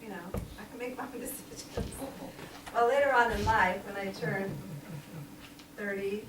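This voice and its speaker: A woman's voice: mid-range voice, fairly even intonation, normal speed. A woman of sixty giving an informal sermon in a small church, chatty and laughing often, with many ums. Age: 60s. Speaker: lay preacher. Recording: echoey room, distant mic, noisy.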